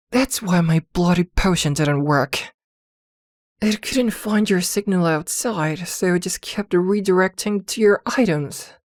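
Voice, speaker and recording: A possessive witch girlfriend, played by a woman in an intimate ASMR fantasy drama. Her voice sits a little low and moves slowly, with hesitant pauses. She is protective, doting and jealous, recorded very dry and close. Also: a little mellow, no room sound. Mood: flustered, exasperated.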